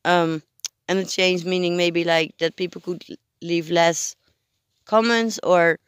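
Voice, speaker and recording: A hoarse adult vlogger who is sick, checking in on camera in a casual, unscripted way. The delivery is halting and hesitant, full of pauses while searching for words. The recording is dry and close, and the voice sits at a middling pitch. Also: fairly even intonation, a bit bright, no room sound.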